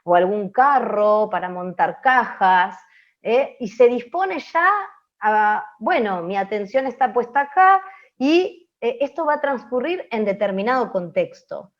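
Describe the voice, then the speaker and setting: A woman's voice: mid-range voice, animated, unhurried. A woman with a Rioplatense Argentine accent explaining as a radio teacher in an open class, often checking in with short tag questions. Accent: Rioplatense Argentine Spanish. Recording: dull lo-fi audio.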